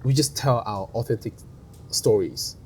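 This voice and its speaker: A man's voice: mid-range voice, lively intonation, unhurried, a little mellow, slight background noise. A man with a Vietnamese accent, a guest on an interview podcast, speaking earnestly and often repeating words as he searches for them. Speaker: animation studio head, guest.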